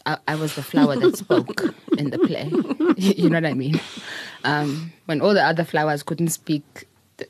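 A middle-aged South African woman, a public speaker, telling her story in an interview in an earnest, reflective way, with soft laughs. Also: sits low, husky, dry recording. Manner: earnest, repeats words, laughs softly while telling stories.

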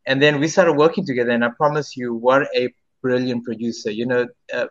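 A man's voice: mid-range voice, fairly even intonation, fairly quick, fairly bright tone, dull audio. A South African singer in his thirties, answering interview questions in a rambling way with many restarts. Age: 30s. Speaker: singer-songwriter guest.

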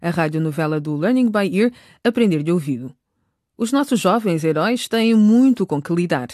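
A woman's voice: on the low side, lively intonation, normal speed, a little mellow, no echo. Dry-recorded woman narrating radio drama.

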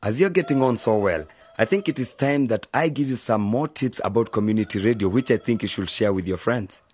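A man playing a sensible, patient older brother figure who gives measured, explanatory advice in a radio drama, with a husky voice. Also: lowish voice, a little mellow. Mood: calm, approving.

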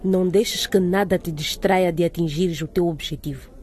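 A woman's voice: fairly low pitch, fairly even intonation, darkish tone, lightly acted. Woman as a stern headmistress, noise behind her. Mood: stern, disappointed. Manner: formal, measured, admonishing. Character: stern, demanding female school headmistress. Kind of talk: scripted radio soap acting.

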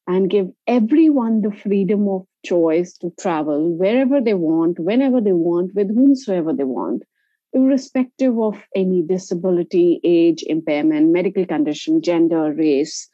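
A woman's voice: fairly low pitch, mellow, no room sound. A woman with an Indian accent, answering as an interview guest in a conversational, explanatory way. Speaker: interview guest, travel company founder. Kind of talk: conversational personal storytelling. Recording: dull, lo-fi audio.

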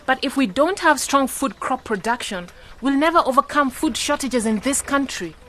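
An actress playing an idealistic, outspoken young graduate in a radio drama, speaking earnestly. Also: female voice, high-pitched, lively intonation, normal speed, pushed and bright. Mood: challenging.

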